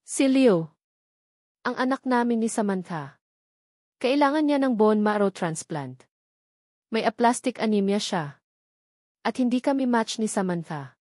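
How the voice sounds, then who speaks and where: A woman's voice: fairly high pitch, expressive, measured pace, long pauses, darkish tone, dry recording, studio quality. A woman with a Filipino accent voicing a desperate, pleading father in a dramatic story. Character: desperate, pleading billionaire father, broken with worry.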